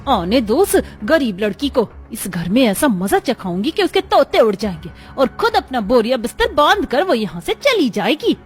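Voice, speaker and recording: A woman's voice: fairly high pitch, big pitch swings, moderate pace, few pauses. A woman voicing a scheming, scornful rich mother-in-law in a cartoon story. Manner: sharp, scornful.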